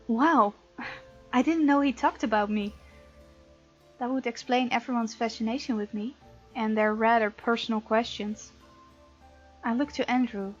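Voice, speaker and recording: Female let's player, fairly high voice, playful narrator-reactor; mildly muffled recording, light game music underneath. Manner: playful, reacts out loud to characters. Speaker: gaming video host. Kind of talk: let's play, reading game text aloud with casual reactions. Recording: slightly dull sound, music behind.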